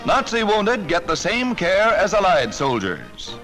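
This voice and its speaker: Old 1940s newsreel guy, american, high voice, very animated and dramatic, big music behind him, vintage film sound. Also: a little slow, poor audio. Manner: urgent, dramatic, declamatory. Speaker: newsreel narrator. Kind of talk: 1940s newsreel narration, scripted. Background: loud music.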